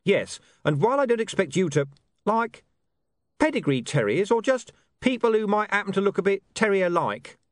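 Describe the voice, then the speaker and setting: A man's voice: high-pitched, animated, normal speed, dry recording, clean studio sound, pitched above the performer's own voice. A man doing an earnest, prim young newspaperman. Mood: insistent.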